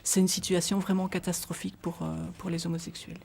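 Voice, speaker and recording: A woman's voice: fairly low pitch, little pitch movement, fast talker, gravelly, darkish tone, husky. A middle-aged woman, guest on a radio interview, explaining at length with many hesitations and restarts. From Belgium. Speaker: association volunteer guest.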